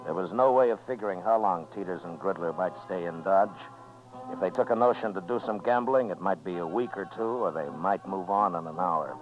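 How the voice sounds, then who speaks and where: A man playing a calm, steely American Old West marshal in a 1950s radio western, speaking in a laconic, measured way. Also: low-pitched, soft background music, close to an everyday voice. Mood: narrating. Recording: dull vintage radio recording.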